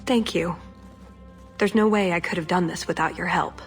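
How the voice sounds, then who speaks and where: A woman's voice: on the low side, normal speed, close to an everyday voice. A woman playing an earnest, wry young elf agent in a dramatic video game scene. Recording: music behind the voice.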